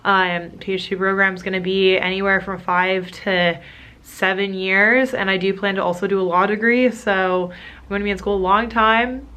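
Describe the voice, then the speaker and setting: A woman's voice: mid-range voice, steady tone, moderate pace, sharp tone, clean tone. A young woman from the United States, a postgraduate student, chatting candidly to camera in a casual vlog. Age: young adult. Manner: candid, chatty, talks straight to viewers.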